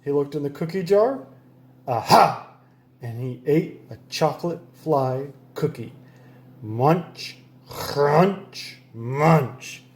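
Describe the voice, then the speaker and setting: A man narrates a children's picture book with playful energy. His voice sits on the high side and rises and falls widely, and he takes his time, savoring the sound words. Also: animated, takes it slowly, darkish tone. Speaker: storybook reader. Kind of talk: animated read-aloud narration for children.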